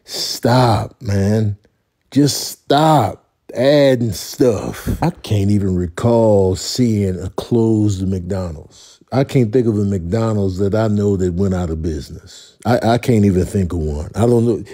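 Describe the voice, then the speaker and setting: Male comic commentator, lowish mellow voice, slangy deadpan exasperation. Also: slow. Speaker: comedian commentator. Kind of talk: comedic rant commentary.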